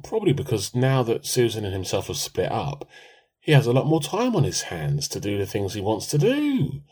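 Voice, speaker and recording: A man's voice: on the low side, moderate pace, breathy edge. A man, playing a timid, comically earnest, melodramatic husband in a radio play. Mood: defensive. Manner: pompous, excitable. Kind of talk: amateur radio play dialogue.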